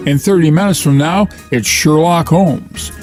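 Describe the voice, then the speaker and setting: A man's voice: fairly high pitch, unhurried, darkish tone, faint music behind. A male host welcoming listeners and introducing the episodes in a friendly, plain way. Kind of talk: podcast introduction.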